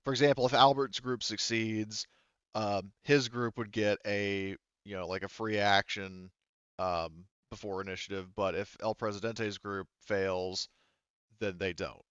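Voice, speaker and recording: A man working as game master, talking casually through tabletop rules in a halting way, thinking aloud. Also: lowish voice, fairly even intonation, a bit bright, clear, resonant tone, no room sound, lo-fi sound.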